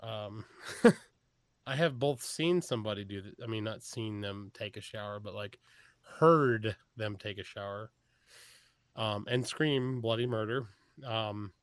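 A man's voice: fairly low pitch, no echo. A man with a Texas accent, chatting casually as a podcast guest, often restarting his sentences. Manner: rambling, frequent restarts, chuckles. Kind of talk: casual chat.

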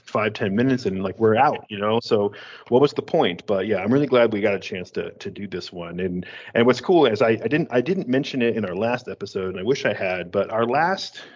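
A man's voice: lowish voice, animated, fairly quick, breathy edge. A man in his thirties from Western New York, co-hosting a podcast and telling a rambling story with frequent laughs and restarts. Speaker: podcast co-host. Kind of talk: casual chat. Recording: dull lo-fi audio.

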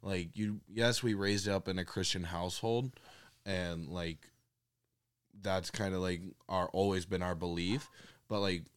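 A man's voice: sits low, steady tone, clean tone. A young man talking casually with family on a podcast, rambling through his thoughts with lots of fillers. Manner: rambling, many fillers, quick to laugh. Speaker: family guest.

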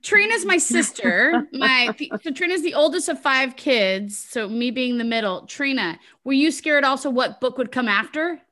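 A high, bright woman's voice that swings freely up and down. She hosts a casual panel chat, chatty and laughing, with easy swearing. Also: expressive, moderate pace, few pauses. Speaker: podcast host.

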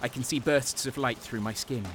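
A man's voice: medium pitch, steady tone, normal speed, noisy background, natural voice. Man playing a cold-hearted soldier narrating war. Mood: grim, detached. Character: cold-hearted, sadistic young male soldier turned traitor. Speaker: narrator and lead character. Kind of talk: first-person dark war audio drama narration.

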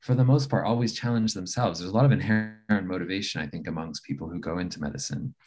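A man's voice: deep voice, fast, over music. A middle-aged man, a palliative care physician, talking thoughtfully in an interview, with many fillers and restarts. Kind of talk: reflective interview talk.

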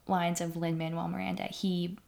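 A woman's voice: lowish voice, flat delivery, moderate pace, vocal fry, a little mellow. A woman co-hosting a movie podcast, talking casually with lots of ums and light laughs. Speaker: podcast co-host.

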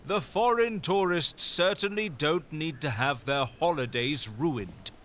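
An actor playing a glib, condescending army captain in an audio drama, breezy and used to giving orders. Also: male voice, on the high side, a little slow, fairly bright tone, slight background noise. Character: breezy, dismissive army captain, fond of dynamite.

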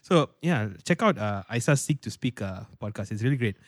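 Male podcast host, baritone, very lively intonation, dry close recording, casual and playful. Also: a little fast, dry recording.

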